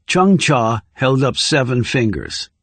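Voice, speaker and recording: A man's voice: mid-range voice, sing-song, slow, fairly bright tone, breathy edge, no room sound. A man narrating a thriller audiobook in a controlled, serious, scripted style.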